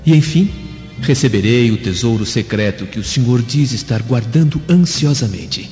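An actor playing a young, scheming slave in a radio drama, with earnest, formal, measured delivery. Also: male voice, mid-range voice, expressive, dark tone, lightly acted. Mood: earnest, plotting. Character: young male slave, devoted son, scheming revenge. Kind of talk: radio drama acting, letter monologue. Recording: dull recording, long reverb, faint music. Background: music.

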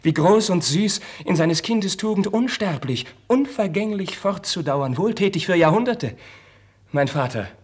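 Fervent young prince, slightly husky. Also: male voice, high-pitched, animated, measured pace, exaggerated voice. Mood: tender, longing. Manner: declamatory, fervent, theatrical stage diction. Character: impassioned young Spanish crown prince, proud, idealistic, wounded son. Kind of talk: 1950s German radio drama acting.

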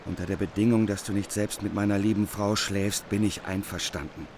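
A man's voice: fairly low pitch, steady tone, brisk, background noise, lightly acted. Humiliated, anxious king played by a man. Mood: grim, wary. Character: humiliated, anxious king Gunther, pleading and plaintive. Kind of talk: literary German radio drama acting.

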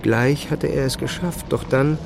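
Troubled, creaky-voiced male narrator. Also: mid-range voice, a little slow, noisy background. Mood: grave, shocked. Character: troubled man recounting his own dark memories. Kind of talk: literary first-person past-tense narration in audio drama.

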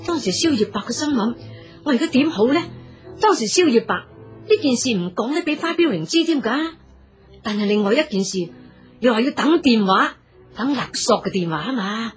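High-voiced Cantonese woman storyteller. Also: brisk, faint music behind. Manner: expressive, exclamatory. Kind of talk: colloquial dramatic story narration.

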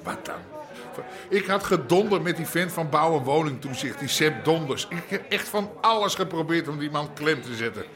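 An actor playing a blustering middle-aged underworld boss in a radio drama. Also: male voice, on the high side, animated, normal speed, crowd noise, close to an everyday voice. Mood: boisterous, chummy. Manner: blustering, colloquial. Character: brash, boisterous Amsterdam underworld boss.

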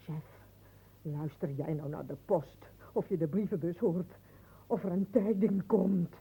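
A woman's voice: fairly low pitch, a little slow, vocal fry, slightly rough, exaggerated voice. An actress playing a bitter, reproachful woman who needles insistently in an old radio play. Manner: insistent, accusing, repetitive. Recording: thin, phone-like old radio play recording.

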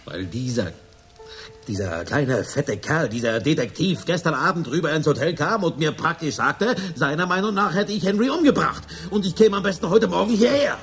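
An actor playing a nervous, self-justifying crook confessing in a radio crime drama, halting and colloquial. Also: male voice, on the high side, animated, fairly bright tone, faint music behind. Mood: uneasy, agitated.

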